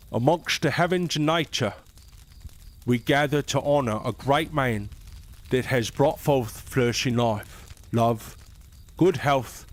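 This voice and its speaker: An actor playing a loyal, gentle royal adviser to a young queen, speaking formally and solemnly. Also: medium pitch, lightly acted. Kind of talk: fantasy audio drama acting. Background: music.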